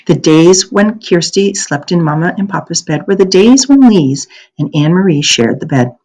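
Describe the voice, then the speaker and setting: A woman with a low voice reads a children's novel aloud. Her delivery is deliberate and expressive, with a very animated, varied pitch as she narrates the story.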